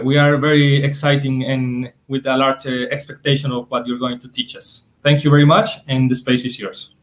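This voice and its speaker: Male host, on a video call; formal, halting introductions. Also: mid-range voice. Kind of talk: introducing a speaker.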